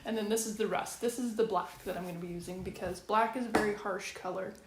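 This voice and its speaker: A woman's voice: medium pitch, steady tone, fast, a little hiss or hum. Chatty woman in an echoey kitchen. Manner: chatty, wry, matter-of-fact. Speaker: quilting vlogger. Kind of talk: casual chatty tutorial. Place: home kitchen. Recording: echoey room with faint ambience.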